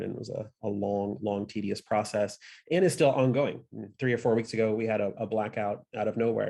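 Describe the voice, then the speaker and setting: A man from Missouri talks through a slide presentation in a fairly low voice with a Midwestern American accent. He sounds earnest and conversational, often restarting phrases and filling pauses with "uh" and "um.". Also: a little mellow. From Missouri, USA. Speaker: conference speaker for a solar industry association. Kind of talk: online conference presentation with slides.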